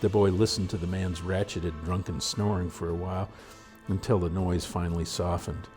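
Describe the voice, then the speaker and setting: A man reading a story aloud as narrator in a measured, dramatic storytelling manner. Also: deep voice, level tone, a little mellow, soft background music. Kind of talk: scripted audiobook narration.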